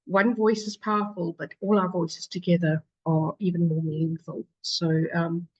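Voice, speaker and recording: A woman's voice: fairly low pitch, slightly rough, no echo. South African woman, muffled webinar voice. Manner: hesitant, many fillers and restarts. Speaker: charity founder presenting in a webinar. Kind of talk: webinar presentation. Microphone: video call.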